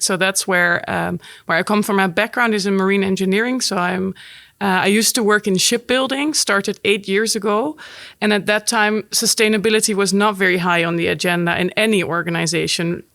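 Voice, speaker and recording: A woman's voice: medium pitch, a bit bright, no room sound, studio quality. A woman, a podcast guest explaining her work thoughtfully with frequent fillers. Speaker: guest, program manager at a port. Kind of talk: podcast interview.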